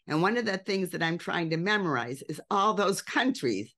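A woman's voice: fairly low pitch, animated, moderate pace, fairly bright tone. Older woman hosting a video-call podcast. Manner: friendly, light humor. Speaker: podcast host. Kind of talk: interview over video call. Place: home room with shelves.

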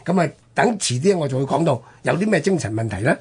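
A man's voice: a little high, lively intonation, fast talker, breathy edge. A man with a Hong Kong Cantonese accent telling history conversationally as a radio host, explaining with rhetorical questions and interjections.

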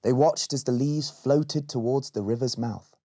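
A man in his twenties reading a children's picture book aloud in an expressive storytelling way. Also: medium pitch, a little slow, slightly rough. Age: 20s. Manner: expressive, acts out dialogue.

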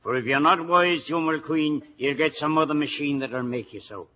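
An actor playing a gruff, stubborn older Irish police sergeant who blusters and sputters in vintage radio drama style. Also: male voice, on the high side, normal speed, very noisy, lightly acted. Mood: indignant. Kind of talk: 1940s-50s radio acting. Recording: dull old radio recording.

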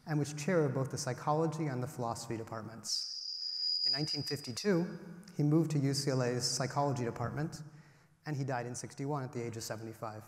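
Guy giving a lecture, calm and explaining, podium mic, lots of room echo. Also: medium pitch. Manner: measured, explanatory, lists with rising emphasis. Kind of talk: academic lecture. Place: large auditorium, at a podium. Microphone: podium microphone. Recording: roomy hall with long reverb.

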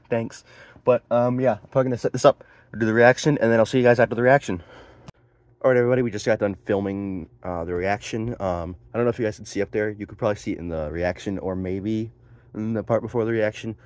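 A man's voice: fairly low pitch, fairly even intonation, fast talker, darkish tone, no echo. A teenage male vlogger chatting casually in a laid-back, informal way into a handheld phone. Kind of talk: casual vlog chat. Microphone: phone at arm's length. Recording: noisy handheld phone recording.

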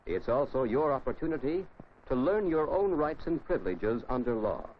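An American man narrates a 1950s instructional film, teaching the listener directly. His intonation moves up and down in a lively way. The soundtrack is dull and clearly noisy. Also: medium pitch, normal speed. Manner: didactic, addresses the listener directly.

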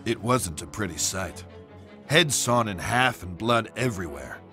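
An actor playing a weary, dogged American police detective in a video game, matter-of-fact and noir in style. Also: male voice, fairly low pitch, lively intonation, a little slow, music in the background. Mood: brooding. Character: weary, dogged male police detective investigating strange deaths. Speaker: detective character and narrator. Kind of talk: noir game voice acting and scripted narration.

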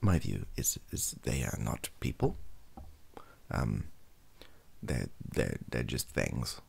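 A young man with a husky, gravelly voice, rambling softly and wryly to camera, often hesitating and correcting himself. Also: a bass voice, very animated, slow pace. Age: young adult. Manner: hesitant, self-correcting, wry. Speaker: ASMR comedy video creator. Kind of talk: improvised soft-spoken rambling to camera. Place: small home bedroom office.

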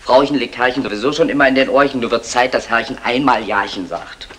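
An actor playing a cocky, bossy safecracker with a sly, mocking manner in a vintage German radio crime comedy. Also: male voice, mid-range voice, quick pace, a bit bright, close to an everyday voice. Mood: scheming. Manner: sly, mocking, baby-talk diminutives. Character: cocky, scheming male safecracker, the gang's bossy planner. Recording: noisy vintage 1960 radio recording.

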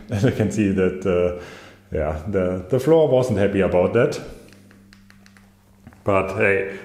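Deep slow guy walking through his bare basement workshop, lots of uhs, echoey room. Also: a little mellow. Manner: halting, many uh fillers and restarts, searches for words. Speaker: maker showing his workshop. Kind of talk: casual walkthrough, narrating while filming. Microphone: handheld camera mic.

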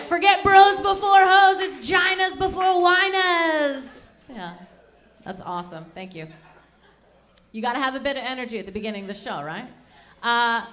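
Canadian lady in her 30s doing standup, high voice, chatty and rambly, handheld mic in a small club. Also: a bit bright. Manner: chatty, rambling, self-mocking. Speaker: stand-up comedian and MC. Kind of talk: stand-up comedy set. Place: small dark lounge with a live audience.

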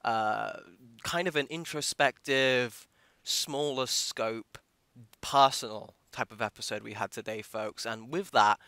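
A man's voice: mid-range voice, sharp tone, smooth, no echo. A man hosting a podcast, interviewing in a casual, chatty style.

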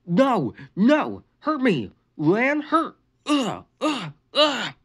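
A man voicing a confused, brain-damaged young boy who yells in broken, halting words. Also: medium pitch, wildly swooping pitch, vocal fry, heavily acted. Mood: angry.

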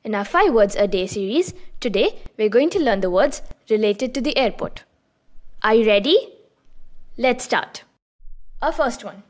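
A woman hosting her own channel, giving a scripted beginner lesson with calm, clear prompts. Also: fairly high pitch, measured pace, pauses often. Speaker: language teacher and channel host.

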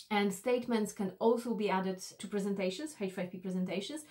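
A woman's voice: mid-range voice, a little mellow. A woman in her thirties narrating an instructional tutorial as an e-learning instructor, explaining things directly to the viewer.

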